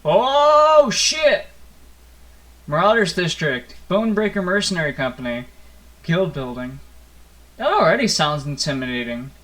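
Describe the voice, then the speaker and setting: A man's voice: on the high side, very animated, measured pace, long pauses, a bit bright. A man reading a visual novel aloud as a gaming host, with theatrical, playful narration and offhand asides. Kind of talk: expressive storybook-like narration with casual asides. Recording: music playing behind the voice. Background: plucked guitar game music.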